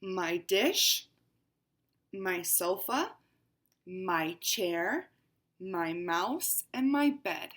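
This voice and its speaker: A woman's voice: on the low side, lively intonation, drawn-out words, long pauses, fairly bright tone, heavily acted. A woman voicing a haughty, pampered house cat as she reads a picture book aloud, with a dramatic, exclaiming delivery. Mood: fussy. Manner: dramatic, exclaiming storybook reading. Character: haughty pampered house cat, female-ish narrator, fussy.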